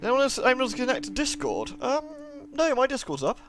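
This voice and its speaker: A man's voice: extremely high pitch, very animated, a little fast, vocal fry. A British man hosting a livestream, chatting informally and in a friendly way as he greets and answers his viewers. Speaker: live streamer, host. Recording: music playing behind the voice. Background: moody music.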